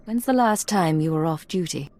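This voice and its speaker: An actress playing a cool, resolute secret agent in a video game, terse and controlled. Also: female voice, sits low, moderate pace, warm tone, lightly acted. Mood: cool, guarded.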